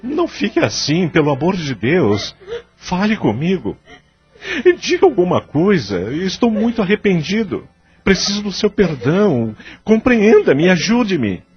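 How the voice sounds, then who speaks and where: Actor as a guilty, pleading, very expressive Brazilian husband. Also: male voice, sits high, a little slow, breathy edge, full character voice. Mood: desperate pleading. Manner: pleading, formal diction. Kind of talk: melodramatic audio drama acting. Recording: dull lo-fi radio-like audio with music.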